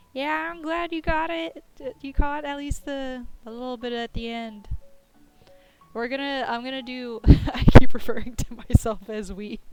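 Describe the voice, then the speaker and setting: A fairly high-voiced woman chatting to her stream audience. Her voice is scratchy and hoarse, and she laughs a lot as she talks. Soft game music plays underneath. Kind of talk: casual live-stream chat.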